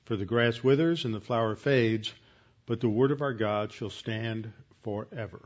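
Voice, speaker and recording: Halting pastor, dull lo-fi sound. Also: male voice, medium pitch. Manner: halting, with many fillers and restarts. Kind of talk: Bible class with announcements and prayer.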